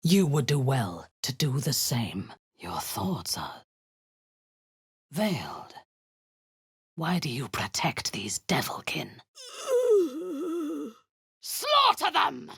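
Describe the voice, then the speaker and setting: A ruthless drow war leader lady for a game, super breathy n husky, slow, menacing, bossy, kinda seductive. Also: rumbling low, huge pitch swings, a bit bright, no room sound, clean studio sound, exaggerated voice. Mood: quiet menace, then battle fury. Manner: commanding, menacing, deliberate. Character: ruthless drow female war commander, zealous, cruel, seductive. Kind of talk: video game voice acting.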